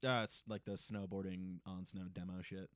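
A man's voice: low voice, little pitch movement, normal speed, clear, resonant tone, no echo, studio quality. A young man co-hosting a casual podcast, talking loosely, swearing a lot and laughing often. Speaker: podcast co-host. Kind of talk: casual chat.

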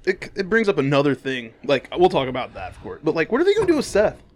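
A man in his thirties joining a casual podcast, teasing the others with quick interjections. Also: fairly high pitch, huge pitch swings. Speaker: podcast guest. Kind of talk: casual chat.

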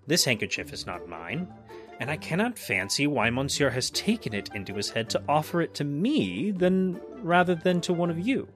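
A man's voice: on the high side, big pitch swings, normal speed, fairly bright tone, soft background music. A man voicing a mild-mannered but cold, haughty musketeer with formal, cutting politeness, in a dramatized storybook reading. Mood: feigned mildness.

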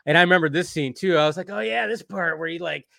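A man's voice: on the high side, fast, vocal fry, bright, forceful tone, dry recording, clean studio sound. A man co-hosting a casual recap and commentary stream, rambling along with lots of filler phrases as he retells scenes. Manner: rambling, peppered with "like" and "you know". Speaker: co-host of a cartoon episode review stream.

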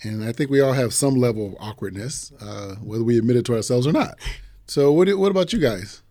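A man's voice: mid-range voice, animated, quick pace, dark tone. A middle-aged man hosting a conversational interview, encouraging and echoing back what his guests say. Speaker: talk show host, school superintendent.

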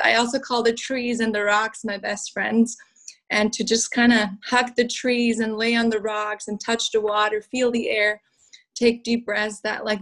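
Relaxed young woman from Switzerland, flat voice. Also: mid-range voice, rough recording. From southern Switzerland. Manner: relaxed, uses um and like often. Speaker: guest, cookbook author. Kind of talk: casual interview talk.

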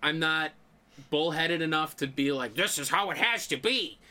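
A man's voice: fairly high pitch, expressive, moderate pace, sharp tone. A man co-hosting a podcast, chatting casually and informally about his hobbies, with some swearing. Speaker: podcast co-host. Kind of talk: casual chat about games and movies.

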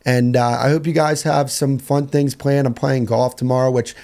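A young man with a hoarse voice, hosting a solo sports podcast in a casual, rambling way that repeats phrases. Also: medium pitch, fairly even intonation, moderate pace, darkish tone. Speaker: podcast host.

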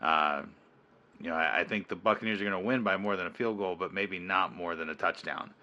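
A man co-hosting a casual sports podcast, talking in a measured way with hesitant restarts. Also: deep voice, pushed and bright, lo-fi sound. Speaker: podcast co-host.

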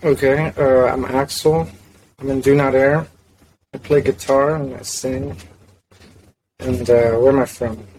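A man's voice: mid-range voice, steady tone, a little slow, long pauses, a little mellow, low-quality recording. A young man from South Florida chats as a podcast guest. He sounds laid-back and a little hesitant, with a mid-range voice and blunt opinions. A faint hiss sits under his voice. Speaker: guitarist and singer, guest. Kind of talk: casual interview chat.

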